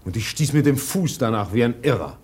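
A man's voice: medium pitch, animated, a little fast, a little mellow, breathy edge. An actor playing a proud, plain-spoken ship's mate in a German radio drama, blunt and earnest in his delivery. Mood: bewildered, confiding.

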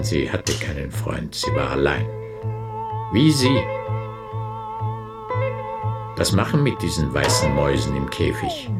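A man's voice: sits low, exaggerated voice. An actor playing a brooding man who questions and accuses insistently, in a poetic German radio play. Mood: accusing. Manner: literary, deliberate, insistent questioning.

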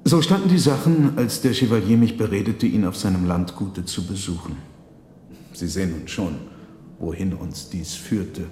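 Radio drama: man playing an eloquent trickster conjurer, deliberate, formal storytelling, set in a reverberant echoing space. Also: low voice, mellow, a little hiss or hum, close to an everyday voice. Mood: sly, composed. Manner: measured, dramatic, formal diction. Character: theatrical trickster conjurer recounting his tale, deliberate and eloquent. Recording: echoey reverberant hall sound.

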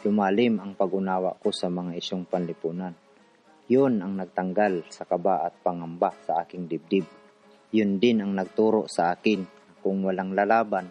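Casting: actor as a resolute grassroots activist, lower-pitched man, earnest radio drama narration over soft music. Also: highs cut off. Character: earnest, determined urban poor activist telling his story. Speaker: narrator and protagonist.

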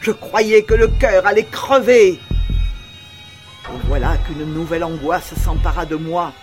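A man playing a nervous, obsessed murderer confessing in a theatrical, halting and deliberate literary narration. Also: a little high, close to an everyday voice. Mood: agitated, frenzied. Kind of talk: dramatic literary audiobook narration. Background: music under the voice.

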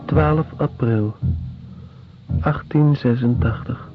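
An actor playing a weary male prisoner in a radio drama, speaking in a measured, deliberate, descriptive monologue. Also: medium pitch, natural voice. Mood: weary, bleak. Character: weary male prisoner recalling squalid penal-colony life. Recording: dull radio recording with music.

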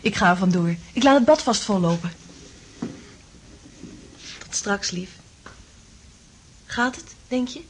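An actress in a radio drama playing a caring, insistent companion, on a noisy recording. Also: female voice, medium pitch, animated, slow pace, pauses often. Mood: tender, brisk. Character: caring, insistent young female companion, worried and tender.

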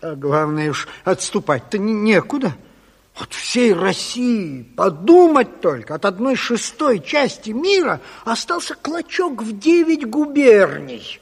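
An actor playing an anxious, pedantic party lecturer, fretful and fussy, in a theatrical Soviet radio drama. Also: male voice, high voice, sing-song, unhurried, husky, full character voice. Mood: gloomy, alarmed. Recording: reverberant studio of 1970s radio play.

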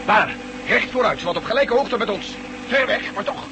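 A tense astronaut presses the others to act fast. His voice is fairly high for a man. Clear hiss runs under this old radio drama recording. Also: lively intonation. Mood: urgent. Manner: urgent, pressing.

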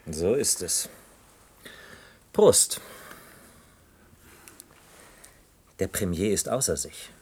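Gruff, husky government minister. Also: male voice, sits low, big pitch swings, slow pace, a little mellow, heavily acted. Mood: brusque, conspiratorial. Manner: curt, blustering. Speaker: actor in a radio play. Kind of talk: 1960s German radio drama dialogue.

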